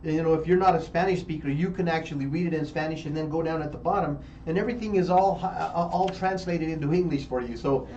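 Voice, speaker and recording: A man's voice: fairly high pitch, quick pace, few pauses, a little mellow. A middle-aged man hosting a radio interview, enthusiastic and affirming, talking in a loose, rambling way. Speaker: radio show host. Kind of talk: interview conversation. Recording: dull distant mic with faint noise.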